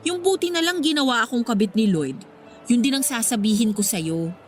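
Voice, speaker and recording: An actress playing a level-headed, wry mistress in a Filipino radio drama, talking in a conversational, pragmatic way. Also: female voice, on the high side, lively intonation, normal speed, soft background music, lightly acted. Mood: teasing, pragmatic.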